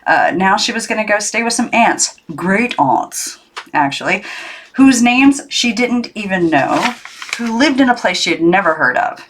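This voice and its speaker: A woman reading a children's novel aloud as narrator, in an expressive storytelling style. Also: mid-range voice, unhurried, a little hiss or hum. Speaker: audiobook narrator.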